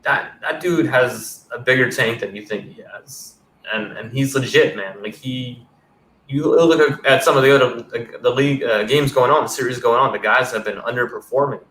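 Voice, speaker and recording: A man in his thirties hosts a laid-back sports podcast on a headset, rambling and swearing easily. His voice is bright, and it sits in a roomy space with a faint hum behind it. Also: medium pitch, normal speed. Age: 30s. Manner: loose, rambling, swears casually. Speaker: podcast host. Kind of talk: casual sports podcast chat. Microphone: headset on a video call. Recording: roomy sound with faint background noise.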